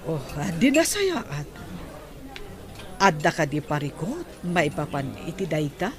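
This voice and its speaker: A woman's voice: deep voice, very animated, slow, full character voice. A woman with a Filipino accent playing a supportive, frank middle-aged friend in a radio drama. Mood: concerned, advising. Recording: restaurant chatter and clinking behind.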